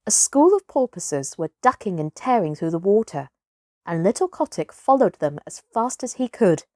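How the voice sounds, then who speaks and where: A woman reading a children's storybook aloud as host, in an expressive, theatrical narrating style. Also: mid-range voice, normal speed, no echo, clean studio sound.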